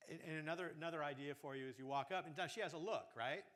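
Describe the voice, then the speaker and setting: A man coaching speakers at a conference workshop, giving lively feedback in front of an audience. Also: on the high side, racing. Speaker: speech coach.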